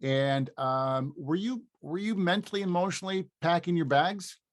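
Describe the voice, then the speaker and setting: A man's voice: a little high, normal speed. A man in his fifties hosting a podcast, chatting casually and often restarting his sentences. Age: 50s. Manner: rambling, restarts phrases, laughs easily. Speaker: podcast host. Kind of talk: casual interview chat.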